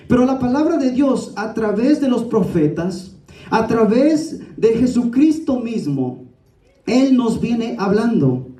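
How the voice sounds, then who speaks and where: A man's voice: very high voice, warm tone. A man preaching a sermon in a didactic, exhorting way, addressing listeners directly. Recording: echoey room, distant from the mic.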